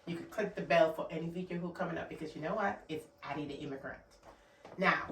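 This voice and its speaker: A woman from Guyana speaks with a Guyanese accent, pressing each point home and repeating her key words for emphasis. Her voice sits a little low for a woman. She is picked up from a distance in a room with a noticeable echo. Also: a bit bright. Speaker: motivational vlogger. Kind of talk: motivational talk to camera.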